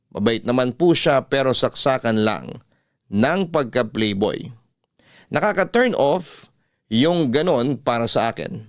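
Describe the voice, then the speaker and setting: A Filipino man narrates a first-person letter as a radio storyteller. His voice sits at a medium pitch, recorded close and dry. Gentle music runs underneath. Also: no echo.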